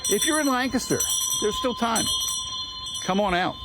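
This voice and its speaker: An American man hosting an informal outdoor livestream, addressing viewers and a small crowd in an earnest, deliberate, speechmaking way. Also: very high-pitched, animated. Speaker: livestream host and organizer of a public reading. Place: outdoors on a town street. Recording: very noisy outdoor livestream with ringing bells. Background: loud ambient noise and a ringing bell.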